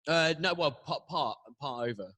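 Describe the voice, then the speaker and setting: A man in casual conversation, speaking haltingly and stammering through many false starts. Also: fairly high pitch, animated, sharp tone, dry recording, clean studio sound. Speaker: panel guest who works as a business analyst.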